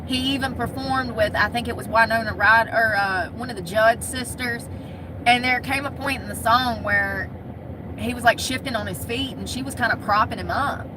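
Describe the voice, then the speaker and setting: A woman's voice: on the high side, normal speed. A woman from Michigan talking casually to the camera in a chatty, candid, wisecracking way. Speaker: vlogger. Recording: noisy outdoor street sound.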